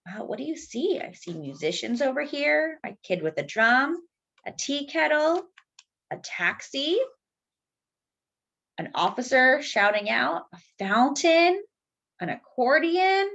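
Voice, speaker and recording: A woman reads a picture book aloud to children. Her voice is fairly high and swoops expressively, with slow, drawn-out emphasis. The sound is a little dull and lo-fi. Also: big pitch swings, vocal fry, fairly bright tone. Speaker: children's storyteller. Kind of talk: expressive picture-book read-aloud with asides to young listeners.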